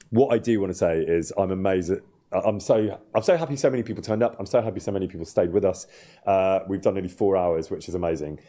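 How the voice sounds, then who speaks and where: A man's voice: deep voice, dark tone. A man hosting a gaming livestream, chatting casually and a little hesitantly while he plays. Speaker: streamer and host of a gaming livestream. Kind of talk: casual livestream chat while playing a game.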